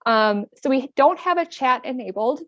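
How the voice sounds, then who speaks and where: Webinar host, female, higher voice; welcoming, reads from notes with filler words; muffled dry call audio. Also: lively intonation, normal speed, no room sound. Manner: welcoming, reads prepared remarks with frequent "um"s. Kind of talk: hosting an online panel. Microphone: computer mic on a video call.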